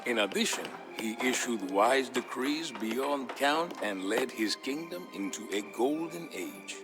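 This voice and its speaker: An actor playing a male narrator who recounts an old legend in a measured, scripted storybook manner for a video game. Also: medium pitch, lively intonation, music in the background. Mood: solemn storytelling.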